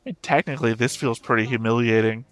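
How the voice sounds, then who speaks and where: A man hosts a reaction show in a casual, off-the-cuff way. His voice sits at an ordinary pitch, with some music playing behind him. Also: quick pace, fairly bright tone.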